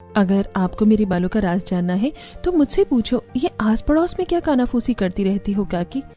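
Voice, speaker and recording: A woman's voice: medium pitch, expressive, moderate pace, dark tone, soft background music, close to an everyday voice. A woman voicing a caring young village mother and daughter-in-law in a cartoon story. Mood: confident, brisk.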